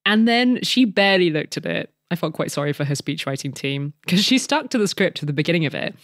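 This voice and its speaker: A woman's voice: low-pitched, lively intonation, a little fast, no room sound. Candid woman podcast host, dry sound. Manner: candid, frank. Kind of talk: casual podcast chat.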